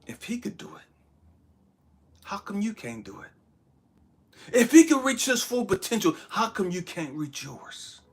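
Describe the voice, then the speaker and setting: A man giving a blunt, profane motivational talk, shouting one moment and dropping to hushed asides the next. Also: high-pitched, very animated, a little slow, long pauses, breathy edge. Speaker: motivational speaker. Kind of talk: impassioned motivational monologue.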